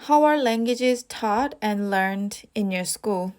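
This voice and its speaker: Slow, measured young woman. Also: medium pitch, darkish tone, smooth. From South Korea. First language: Korean. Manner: reads each question, then answers with rehearsed, casual fillers. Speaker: IELTS practice test speaker. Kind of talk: model answers for a speaking exam.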